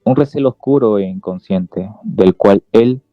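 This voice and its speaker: Slow low-pitched male story narrator. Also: no echo. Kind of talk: scripted literary narration for radio drama. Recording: dull lo-fi sound, music behind.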